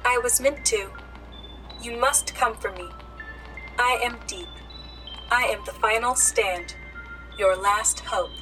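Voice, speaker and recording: A woman's voice: fairly high pitch, bright, forceful tone, faint music behind, rough recording. A woman playing a mysterious female AI who speaks formally and cryptically in a sci-fi audio drama. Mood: solemn, cryptic. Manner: formal, cryptic, slow, commanding.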